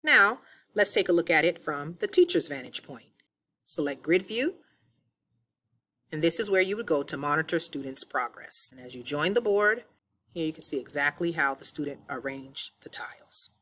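A woman teacher giving clear step-by-step instructions in a screen-recorded software tutorial, voiced over the screen. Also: sits low, animated, normal speed.

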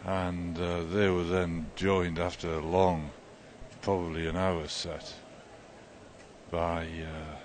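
A man hosting a casual podcast, talking in a relaxed, rambling way with many fillers, recorded outdoors on a handheld recorder. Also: low-pitched, slow pace. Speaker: podcast host. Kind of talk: casual chat. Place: outdoors at a festival.

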